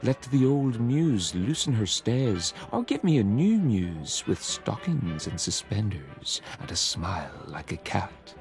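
A man giving a measured, declamatory reading of a long poem. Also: fairly low pitch, big pitch swings, creaky, a little mellow, soft background music. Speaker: poetry reader. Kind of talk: dramatic poetry reading.

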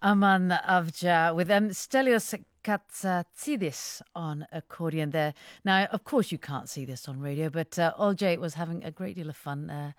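A woman's voice: fairly low pitch, moderate pace, hardly any pauses, no room sound. A woman hosting a radio interview, asking questions and often repeating her words. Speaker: radio host. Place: radio studio. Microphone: studio microphone.

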